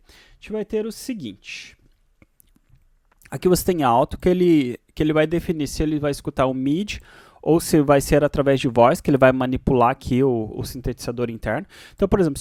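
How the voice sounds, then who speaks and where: A Brazilian man with a notably high voice teaches in an informal tutorial style. His intonation moves up and down in a lively way, and he often adds small tag questions to check understanding. The recording is very dry, with no room sound. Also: no echo. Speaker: music software instructor.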